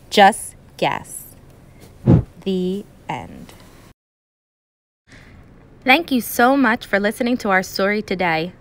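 A woman teacher reading a children's storybook aloud in an expressive, emphatic way with dramatic pauses. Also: mid-range voice, noisy background.

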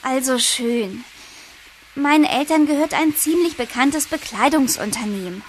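A woman's voice: high-pitched, lively intonation, measured pace, a little hiss or hum, rough recording. An actress playing a reserved, modest schoolgirl in a children's audio drama, hesitant and downplaying. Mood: reluctant. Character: reserved, modest new schoolgirl, reluctant to confide.

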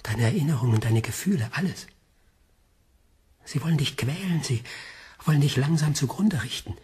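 An actor playing a troubled, protective doctor in a sci-fi radio play, intense and urgent in manner. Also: male voice, on the high side, expressive, moderate pace, darkish tone, breathy edge. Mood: grieving, tender. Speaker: actor in a sci-fi audio drama. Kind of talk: dramatic radio play acting.

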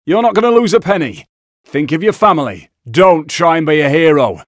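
An actor playing a brash, foul-mouthed robber in a heist video game, with an English accent and a gruff, urgent delivery. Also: male voice, a little high, expressive, a little fast, dry recording, studio quality, lightly acted. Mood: tense, commanding. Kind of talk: shouted video game combat callouts.

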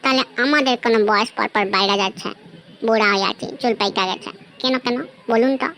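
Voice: mid-range voice, fast, pushed and bright, slight background noise. A performer voicing a cheeky, girl-crazy male schoolboy who is too old for class, bantering in brash slang for a comedy cartoon.

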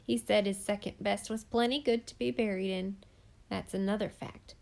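Brief: a woman doing a spiteful eccentric gossip for a storybook, loud, scornful, voice a touch higher than her own. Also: fairly even intonation, normal speed, gravelly, natural voice. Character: sharp-tongued gossiping eccentric woman in church.

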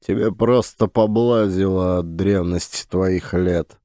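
A man's voice: low-pitched, fairly even intonation, dark tone, no room sound, clean studio sound. A man playing a sneering mine boss. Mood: mocking. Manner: slow, venomous and dismissive. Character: sardonic, haughty mine manager, middle-aged man.

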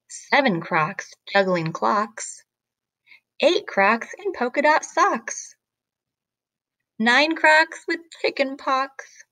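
A woman reading a picture book aloud to children like a teacher, with deliberate, expressive, rhythmic phrasing. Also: high voice, dry recording, poor audio.

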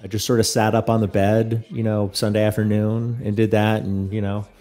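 Man, thirties, low voice, warm tone, relaxed, close mic, home setup. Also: moderate pace, faint music behind. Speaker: host. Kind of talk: casual chat. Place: small room at home. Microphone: studio mic close up.

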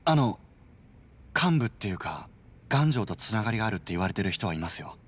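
An actor playing a helpful young tech researcher in a video game, explaining politely and informally. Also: male voice, fairly low pitch, very animated, fairly quick, husky. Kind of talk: Japanese video game cutscene acting.

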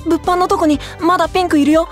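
A sweet, excitable young man with a high boyish voice. It is anime voice drama, with light music behind. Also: fast. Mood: excited. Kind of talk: Japanese anime-style voice drama.

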